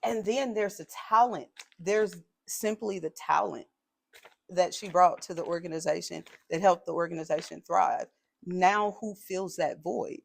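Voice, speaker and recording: A woman's voice: lowish voice, a little slow, creaky. A woman speaking in a strong voice, making her points assertively in a podcast conversation. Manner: assertive, emphatic, builds points in lists.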